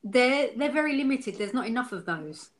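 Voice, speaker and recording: A woman's voice: fairly high pitch, animated, normal speed, noisy background. A woman from the UK, a designer, talking frankly in a casual interview, full of fillers and restarts. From United Kingdom. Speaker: designer interviewed as software user.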